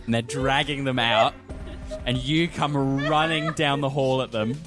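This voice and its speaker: A man runs a tabletop roleplaying game in a medium-pitched voice. He sounds amused as he narrates and adjudicates, and music plays clearly behind him. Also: measured pace. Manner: amused, laughs easily. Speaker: game master. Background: music bed.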